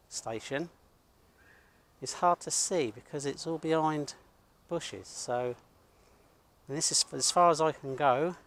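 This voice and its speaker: Creaky-voiced middle-aged man, blunt vlogger. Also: fairly high pitch, fairly bright tone. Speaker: host of an online video channel. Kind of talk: monologue to camera for a vlog.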